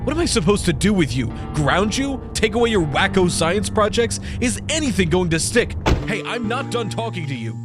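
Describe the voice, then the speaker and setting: A man's voice: sits high, sing-song, normal speed. A man playing an exasperated, scornful father who scolds his teenage son in a comic dub. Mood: angry, exasperated. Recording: prominent music under the voice.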